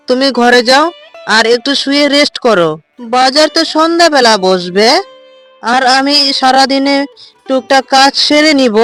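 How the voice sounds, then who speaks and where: A voice actor playing a dutiful young unmarried village woman in a cartoon, earnest and pleading. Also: a bit bright. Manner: earnest, pleading, somewhat shrill. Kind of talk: cartoon voice acting.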